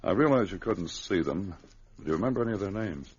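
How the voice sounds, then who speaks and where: An actor playing a steady, protective Mountie sergeant in an old-time radio drama, speaking with firm, measured authority. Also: male voice, low-pitched, slightly rough, heavily acted. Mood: grave, thoughtful. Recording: muffled vintage radio recording.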